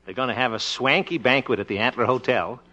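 An actor playing an excitable, boastful but good-natured grown son in a 1950s radio sitcom, hammy and blustery. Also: male voice, mid-range voice, fast. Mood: eager, boastful. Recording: dull old radio recording.

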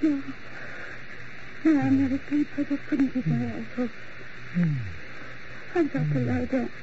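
An actress playing a devoted, exhausted young woman nursing her lover, soft and pleading, in a 1940s radio drama. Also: high voice, fairly even intonation, a little slow. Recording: dull old radio recording with faint hiss.

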